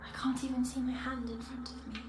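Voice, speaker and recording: A woman playing a timid young girl in a dreamlike trance for an audio drama, hushed, halting and full of wonder. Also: high voice, flat delivery, noisy background, natural voice. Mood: dreamy wonder. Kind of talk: audio fiction drama acting. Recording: echoey, distant, under loud eerie music.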